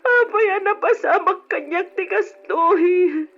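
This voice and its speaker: A woman's voice: extremely high pitch, slow pace, exaggerated voice. A woman acting as a distraught figure in a tragic Ilocano radio melodrama, drawing out her words tearfully. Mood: anguished, tearful. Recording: dull old radio recording with music.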